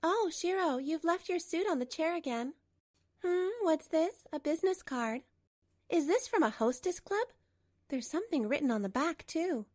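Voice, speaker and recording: A woman playing a young wife, high voice, stern, insistent, manga drama dub. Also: animated, moderate pace, darkish tone, no room sound, clean studio sound. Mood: startled, suspicious.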